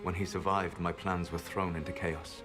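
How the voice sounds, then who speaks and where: Guy playing an ancient elf mage from a game, deep voice, measured and formal, sad but resolute, music playing under it. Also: little pitch movement, close to an everyday voice. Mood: grave, explanatory. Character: ancient male elven mage, sorrowful rebel, calm and resolute. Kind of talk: scripted video game acting.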